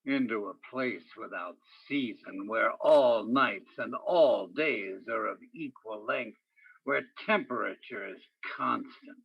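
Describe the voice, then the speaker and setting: A world-weary male police detective played by a man narrates a hardboiled radio drama. He speaks slowly and deliberately, leaning on dramatic pauses. The sound is dull and lo-fi. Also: mid-range voice, gravelly, husky, full character voice. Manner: deliberate, dramatic, poetic second-person delivery with heavy pauses. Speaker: narrator and lead actor in a radio play.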